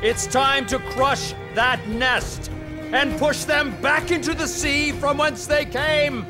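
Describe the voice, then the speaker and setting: A proud ancient warrior champion in a video game, declaiming to rouse men. His voice is pitched very high and he spaces his words slowly, with music behind. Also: fairly even intonation. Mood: rousing. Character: proud, rousing Trojan warrior champion, grown man. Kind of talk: dramatic video game acting.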